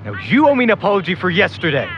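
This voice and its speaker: A man's voice: high voice, wildly swooping pitch, racing, lo-fi sound, background noise. A man playing an irritable, sarcastic young older brother in a naturalistic audio drama. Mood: indignant. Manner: sarcastic, grumbling.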